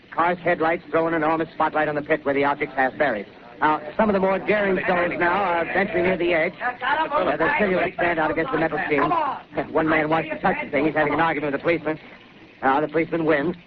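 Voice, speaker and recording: An actor playing an on-the-spot radio reporter in a 1930s radio drama, talking in urgent, halting live commentary. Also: male voice, fairly high pitch, lightly acted. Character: excitable on-the-spot radio reporter, adult man. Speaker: field reporter. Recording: dull, hissy 1930s radio broadcast.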